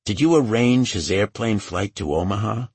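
A man's voice: lowish voice, animated, moderate pace, darkish tone, slightly rough, no room sound, voice pushed up. A man voicing a blunt, commanding male federal agent with an authoritative, matter-of-fact manner in an audiobook. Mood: firm, pressing.

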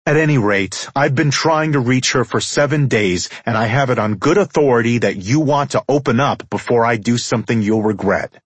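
A man's voice: medium pitch, normal speed, few pauses, husky, dry recording, pitched above the performer's own voice, close to an everyday voice. A man voicing an arrogant senator in an audiobook, cool, cutting and threatening. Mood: impatient warning. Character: arrogant, cold, commanding male senator.

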